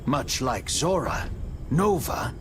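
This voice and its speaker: A man narrating a game cutscene like a storybook, in a measured, dramatic storytelling manner. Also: medium pitch, very animated, noisy background.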